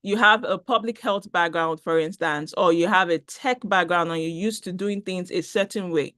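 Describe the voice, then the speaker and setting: A woman's voice: on the low side, moderate pace, pushed and bright, no room sound. Woman, Nigerian accent, webinar presenter, upbeat, chatty, dry video-call audio. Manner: chatty, upbeat, restarts and fillers while reading the chat. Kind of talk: online talk with audience chat.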